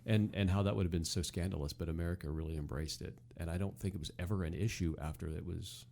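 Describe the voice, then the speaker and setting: A man's voice: deep voice, steady tone, quick pace, creaky, mellow. A man co-hosting a podcast, chatting casually and with amusement about old television. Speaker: podcast co-host. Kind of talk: casual chat, nostalgic TV commentary.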